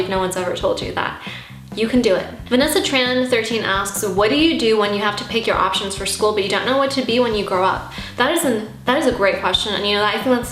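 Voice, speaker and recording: A woman's voice: mid-range voice, a bit bright. A young woman whose first language is Armenian, hosting a casual question-and-answer vlog in an earnest, encouraging way. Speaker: YouTube host answering viewer questions. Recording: roomy echo, music behind.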